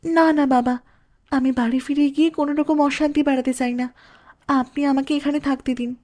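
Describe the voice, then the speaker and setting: An actress playing a patient, wronged young village wife in a cartoon, earnest and plaintive. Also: female voice, sits high, steady tone, normal speed, dark tone, natural voice. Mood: pleading. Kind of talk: Bengali cartoon dialogue.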